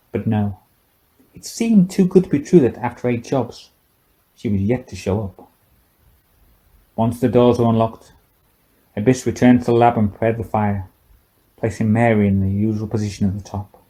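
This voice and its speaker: A man's voice: fairly low pitch, dark tone, poor audio. A man reading a novel aloud as an audiobook narrator in a scripted storytelling style.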